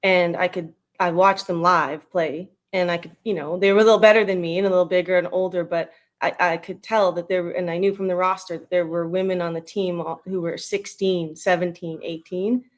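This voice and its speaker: A woman's voice: fairly low pitch, steady tone, brisk, few pauses. A woman in her thirties with a western Canadian accent, speaking as a guest in a casual interview in an informal, rambling way. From Edmonton, Canada. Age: 30s. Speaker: interview guest, retired national team baseball player.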